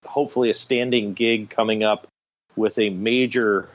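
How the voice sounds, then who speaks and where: A man's voice: lowish voice, fairly even intonation, moderate pace, muffled recording. A middle-aged man telling anecdotes enthusiastically as an interview guest, in a conversational storytelling style. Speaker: guest, radio theater director.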